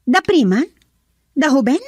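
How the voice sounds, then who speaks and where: A woman playing a young woman who breaks off her engagement in a radio drama, her speech sharp and dismissive. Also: sits high, big pitch swings, unhurried, no room sound. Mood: defiant.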